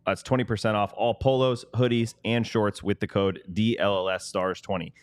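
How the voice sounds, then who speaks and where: A man's voice: fairly low pitch, steady tone, moderate pace, clean tone, dry recording. A man co-hosting a podcast, reading sponsor copy casually and ad-libbing along the way. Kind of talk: casual ad read with ad-libs.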